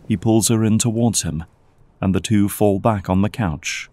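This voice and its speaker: A man's voice: lowish voice, normal speed, mellow, dry recording. A man reading scripted, dramatic narration as the narrator of an audio drama. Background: background music.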